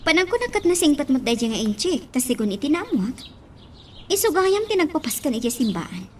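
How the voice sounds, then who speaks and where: A woman's voice: high voice, very animated, brisk, a little mellow, a little hiss or hum. A woman acting a distressed young daughter who keeps questioning, in a radio drama. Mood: upset, questioning. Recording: faint ambience with chirping birds.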